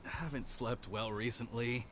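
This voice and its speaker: Man playing an anxious, dutiful young servant, lightly pitched voice. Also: steady tone, a little slow, a bit bright, slight background noise, natural voice. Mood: troubled. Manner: polite, hesitant, self-conscious. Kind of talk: video game character acting. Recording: clear game music behind the voice. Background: background music.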